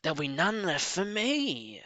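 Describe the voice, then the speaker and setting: A man's voice: high-pitched, huge pitch swings, unhurried, sharp tone, no room sound, voice pushed up, full character voice. A man voicing a snappish, possessive little rat in a storybook, in a hissy, strained voice. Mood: indignant. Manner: snapping, indignant. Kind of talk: storybook character voice.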